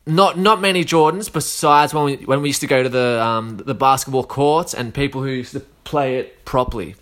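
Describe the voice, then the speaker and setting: Australian guy, 30s, under the weather, loose slangy solo chat, voice sits a bit high. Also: fairly bright tone. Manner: rambling, slangy, many fillers and restarts. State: a bit sick, coughing.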